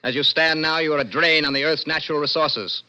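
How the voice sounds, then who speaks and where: An actor with an American accent playing a cold, formal young lieutenant judge of a dystopian court, in 1960s radio drama style. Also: male voice, a little high, fairly even intonation, a little fast, pushed and bright, clean tone. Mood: cold, unbending. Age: young adult. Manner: cold, formal, clipped pronouncements. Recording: dull old-time radio recording.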